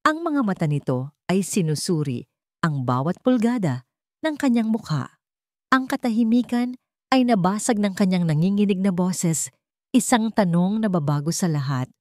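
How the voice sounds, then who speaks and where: A Filipino woman narrating a scripted dramatic story in a measured, emotive way with deliberate pauses. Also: mid-range voice, animated, mellow, no room sound, studio quality.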